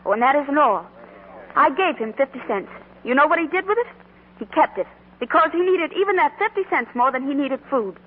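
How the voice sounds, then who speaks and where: Earnest high-voiced shopgirl, muffled old radio. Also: lively intonation, a little fast. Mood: impassioned, pleading. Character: earnest, big-hearted young shopgirl rallying her coworkers. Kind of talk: 1940s radio drama acting. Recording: muffled 1940s radio broadcast.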